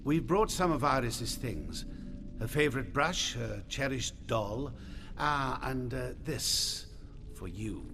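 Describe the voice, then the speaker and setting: An actor playing a stiff, hesitant older nobleman father in a fantasy game, with a halting, awkward manner. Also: medium pitch, expressive, over music. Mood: uneasy. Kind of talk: dramatic video game acting.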